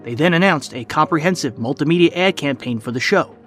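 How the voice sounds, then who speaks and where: A man's voice: fairly high pitch, expressive, brisk, fairly bright tone, soft background music. A man reading scripted documentary narration as a podcast host.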